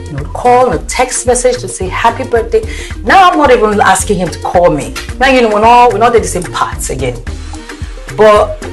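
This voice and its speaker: A Nigerian woman giving an emotional personal account as a mother, mixing pidgin into her English. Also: mid-range voice. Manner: earnest, mixes pidgin into English. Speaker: mother telling her story.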